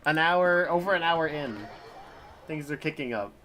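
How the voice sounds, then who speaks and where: A man with a bright, slightly high voice gives casual game commentary. He is wry and sarcastic, with faint noise in the background. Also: moderate pace. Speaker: game live streamer. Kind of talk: casual live gaming commentary.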